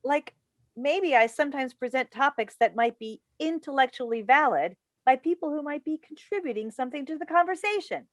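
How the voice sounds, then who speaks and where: Woman doing an exasperated mom tv host in a play reading, very animated, dry video call sound. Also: sits high, brisk, dry recording. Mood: indignant. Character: defensive, exasperated middle-aged mother and talk show host.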